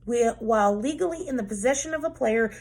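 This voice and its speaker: Give me a woman playing a smug little schoolgirl from a storybook, prim and superior, with a rather high voice and a gravelly edge. She lectures as if she knows everything. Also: a little fast, a little mellow. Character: bossy know-it-all eight-year-old girl.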